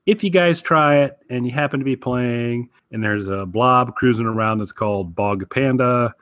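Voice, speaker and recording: A man's voice: medium pitch, normal speed, dry recording, phone-quality sound. A man co-hosting a podcast in loose, casual chat. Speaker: podcast co-host.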